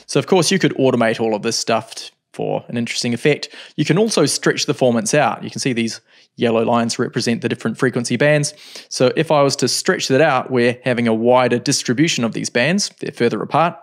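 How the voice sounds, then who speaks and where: A man in his thirties teaching music software in a home studio, explaining calmly with frequent ums. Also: mid-range voice, fairly quick. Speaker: music production tutor. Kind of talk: screen-recorded software tutorial.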